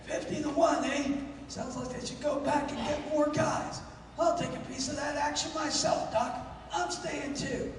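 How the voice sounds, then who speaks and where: A man's voice: extremely high pitch, fairly even intonation, a little slow. A man playing a cocky, sardonic sidekick in a 1930s radio adventure, wisecracking brashly. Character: wisecracking, cocky male sidekick eager for a fight. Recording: echoey, noisy hall, distant microphone.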